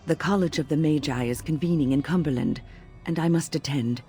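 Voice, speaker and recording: Wise elder female mage for fantasy game: low, mellow, formal and cautious, music underneath. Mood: warm, measured. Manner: formal, measured, kindly diction. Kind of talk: fantasy game dialogue acting.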